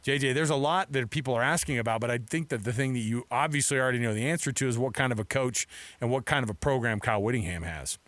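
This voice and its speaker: A man's voice: medium pitch, quick pace, a bit bright, no room sound. An American man co-hosting sports talk radio in a casual, rambling, conversational way.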